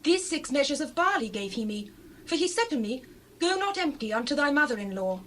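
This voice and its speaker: An actress playing a humble, obedient young widow in a dramatized Bible reading, meek and earnest. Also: female voice, sits high, sing-song, fairly quick, a bit bright, slight background noise, rough recording.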